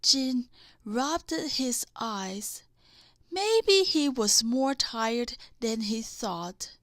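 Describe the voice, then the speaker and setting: A woman reading a children's bedtime story aloud in a deliberate way, with dramatic emphasis. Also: on the high side, expressive, a bit bright, no echo. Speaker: storybook reader.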